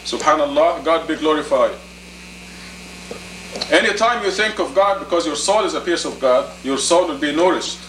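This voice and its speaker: A man in his fifties giving a sermon as an imam, deliberate and emphatic, in short phrases and rhetorical questions. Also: on the high side, a bit bright. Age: 50s. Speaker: imam, preacher. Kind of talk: sermon, teaching plainly to a congregation. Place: indoor meeting room. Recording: roomy, distant camcorder audio with faint noise.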